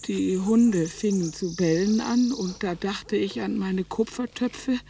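A woman's voice: medium pitch, slow, gravelly, dark tone, slight background noise. An actress playing a fearful old woman in a radio drama, talking in an anxious, plaintive way. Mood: troubled. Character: troubled, fearful old woman confiding in her doctor.

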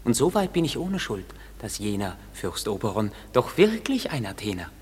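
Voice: medium pitch, very animated, moderate pace, natural voice. An actor playing a gleeful, taunting male forest sprite in a classic German radio play. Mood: gleeful, cheeky. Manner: playful, taunting, theatrical verse delivery. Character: gleeful mischievous male forest sprite, servant to fairy king. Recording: reverberant hall, noisy radio play production.

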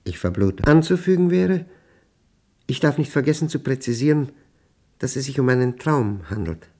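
An actor in a German radio play, playing a troubled, methodical professor who dictates a diary in deliberate fragments. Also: male voice, medium pitch, big pitch swings, darkish tone, slightly rough. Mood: tense, haunted. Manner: deliberate, fragmented phrasing, precise, pedantic. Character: troubled methodical male professor recording his nightmares as a diary.

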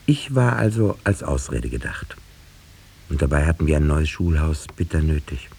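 A man's voice: a bass voice, big pitch swings, moderate pace, gravelly, a little mellow, a little hiss or hum, exaggerated voice. A man playing a wry, sceptical village schoolteacher who tells the tale in a 1950s radio play, reflective and dryly ironic. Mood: wry, storytelling.

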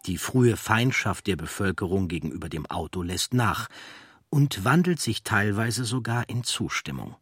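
Guy narrating a documentary script, bit husky, very dry sound. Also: lowish voice, lively intonation, normal speed, fairly bright tone, dry recording. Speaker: audiobook narrator.

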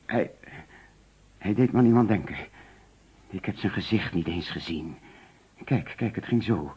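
An actor playing a nervous, evasive suspect under police questioning in a radio play, hesitant, defensive and repeating himself. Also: male voice, mid-range voice, slightly rough, heavily acted. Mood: agitated, defensive. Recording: dull, fuzzy old radio recording.

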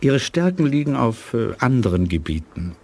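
An actor playing a shrewd, self-assured colonel in a 1980s German radio play, talking in a deliberate, knowing manner. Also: male voice, mid-range voice, very animated, a little mellow. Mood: wry, knowing.

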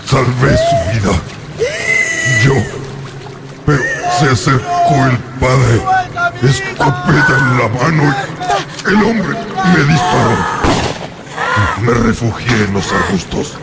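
A man's voice: mid-range voice, lively intonation, creaky, shouting, loud music behind the voice. An actor playing an anguished, vengeful monster in a radio drama, brooding and volatile as he addresses his creator. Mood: wounded, bitter. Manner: slow, brooding, emotionally volatile delivery. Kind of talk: dramatic radio play acting.

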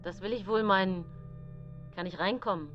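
A woman's voice: medium pitch, moderate pace, natural voice. A woman playing a hearty soldier back from war in a radio drama, breezy and matter-of-fact. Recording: dull sound with music behind.

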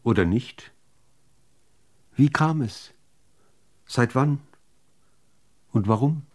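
A man reciting literary poetry in a measured, deliberate manner with dramatic pauses between phrases. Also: medium pitch, lively intonation, darkish tone. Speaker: reader of poetry.

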